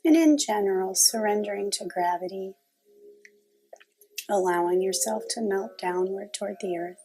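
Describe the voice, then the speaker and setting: A woman leading a guided meditation in a calm, gentle, unhurried manner with long pauses. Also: medium pitch, slight background noise, low-quality recording.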